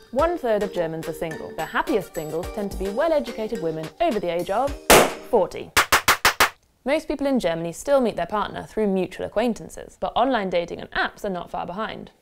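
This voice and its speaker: A woman in her thirties hosts with an upbeat, wry tone, reading a scripted presentation. Her pitch moves around very freely, rising and dipping through each line. Music plays clearly in the background. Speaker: host.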